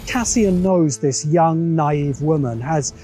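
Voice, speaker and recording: Male essay narrator, mellow and a touch high-pitched, sardonic and opinionated, music bed underneath. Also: slow. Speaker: host of a science fiction commentary show. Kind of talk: scripted video essay narration. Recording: music behind the voice. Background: background music.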